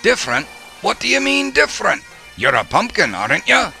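A kindly, avuncular Scottish mail carrier for a children's show. The voice is high and creaky, with very lively rises and falls. He talks in a folksy way. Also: fairly quick, soft background music, exaggerated voice. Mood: reassuring.

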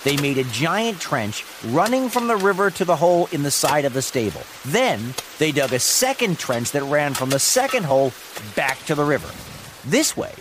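A man's voice: on the high side, sing-song, moderate pace, noisy background. A man narrating a story for children as a podcast host, playful and wry, with dramatic emphasis. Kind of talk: animated storytelling narration of Greek myths for children.

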